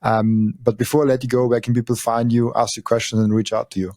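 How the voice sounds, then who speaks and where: A man hosting a podcast in a friendly, halting way, with many fillers and stumbles. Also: medium pitch, level tone, a little mellow, dry recording, clean studio sound. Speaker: podcast host. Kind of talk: casual interview talk.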